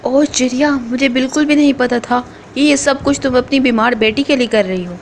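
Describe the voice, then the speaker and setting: A gruff, boastful male crow from a cartoon. He talks in a fairly high voice with a faint hiss in the background. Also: normal speed. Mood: remorseful. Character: hot-tempered male crow ice-cream seller, bullying then remorseful. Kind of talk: cartoon voice acting.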